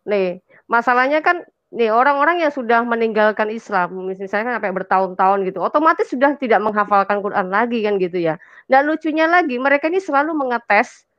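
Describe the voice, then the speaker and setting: A woman's voice: a little high, lively intonation, fairly quick, no echo, highs cut off. An Indonesian woman in an impassioned, conversational religious discussion, emphatic and colloquial, repeating phrases and checking for agreement. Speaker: talk show speaker on religion.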